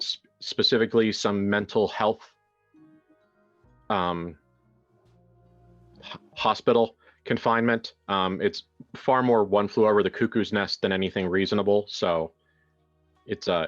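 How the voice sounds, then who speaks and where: Low-voiced man hosting, dull lo-fi sound. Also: fairly even intonation, normal speed, pauses often, faint music behind. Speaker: game master and host. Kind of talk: casual improvised introduction and horror game narration.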